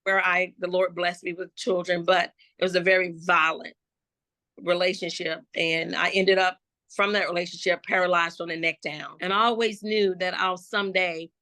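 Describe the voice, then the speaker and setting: Bright-voiced woman from Florida. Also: lowish voice, level tone, dry recording. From Jacksonville, Florida. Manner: slow, reflective, with many restarts and fillers. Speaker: gospel hip-hop artist guest. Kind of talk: personal life testimony in an interview.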